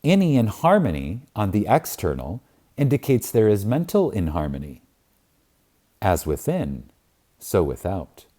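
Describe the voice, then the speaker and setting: A man with a low, mellow voice reads a spiritual book aloud for an audiobook. He takes his time, leaning on words with reverent emphasis and long pauses. His intonation rises and falls widely. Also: huge pitch swings. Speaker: audiobook reader. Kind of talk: reading a spiritual self-help book aloud.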